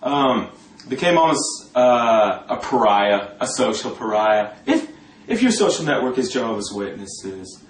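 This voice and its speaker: A man telling a personal story informally in a sardonic, gossipy, coarse-mouthed and animated way. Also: medium pitch, a little slow. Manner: animated, breaking often into laughter. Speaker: storyteller talking about a past religious community. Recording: roomy echo, distant mic, faint noise.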